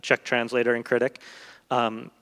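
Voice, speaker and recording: A man speaking as a guest translator in a literary discussion, explaining thoughtfully in long, halting sentences. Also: mid-range voice, monotone, a bit bright. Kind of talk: literary discussion before an audience. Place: large event hall, live public talk. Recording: echoey hall with long reverb.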